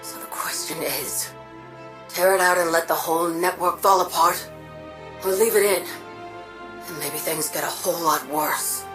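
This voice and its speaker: An actress playing a wary, calculating schemer in a sci-fi game, deliberate in manner. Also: female voice, lowish voice, steady tone, music in the background, lightly acted. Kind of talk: video game voice acting.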